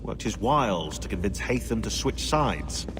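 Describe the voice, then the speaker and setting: A man's voice: on the low side, sing-song, normal speed, background noise. A man playing a dry, sardonic British researcher in a video game, wordy and precise in his phrasing. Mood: musing. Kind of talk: video game cutscene acting.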